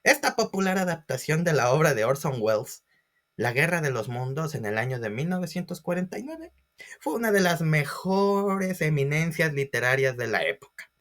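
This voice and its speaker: A man's voice: on the high side, big pitch swings, normal speed, fairly bright tone. Man teaching with theatrical emphasis. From Ecuador. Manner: theatrical emphasis, rhetorical questions to listeners. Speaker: history teacher. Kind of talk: animated storytelling lecture.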